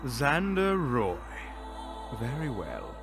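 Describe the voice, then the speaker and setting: Pompous, theatrical evil sorcerer. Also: male voice, medium pitch, huge pitch swings, a bit bright, faint music behind, full character voice. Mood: menacing, mocking. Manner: pompous, grandiose, with drawn-out dramatic pauses. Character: theatrical, vain, easily flustered evil sorcerer villain. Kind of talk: fantasy audio roleplay acting.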